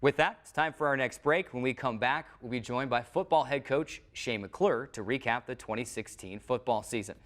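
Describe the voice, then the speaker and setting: A man's voice: medium pitch, normal speed, hardly any pauses, a bit bright. A man hosting a broadcast sports show in a studio, talking in a conversational, wordy way.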